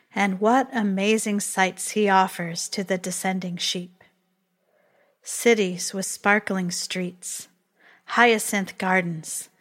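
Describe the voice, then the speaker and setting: Slow-paced woman reading poetry. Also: mid-range voice, a bit bright. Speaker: poetry reader.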